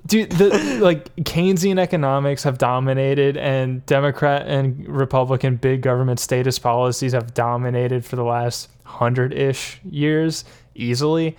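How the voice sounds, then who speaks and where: A man's voice: medium pitch, steady tone, normal speed, few pauses, darkish tone, smooth. Rambling, laughing podcast guy. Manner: rambling, many fillers, laughs often. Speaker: podcast co-host. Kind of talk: casual political chat.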